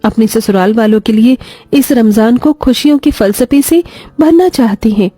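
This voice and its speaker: A woman's voice: on the high side, moderate pace, dark tone, husky, no echo, faint music behind. A woman voicing a kind, devoted young daughter-in-law in a moral story, speaking earnestly, respectfully and with feeling.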